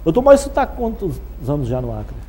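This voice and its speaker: A Brazilian man with a husky voice, hosting a television talk show in a chatty presenting style. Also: a little high, wildly swooping pitch, fast talker, a crowd in the background. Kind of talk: live show presenting with sponsor read and chatty interviewing.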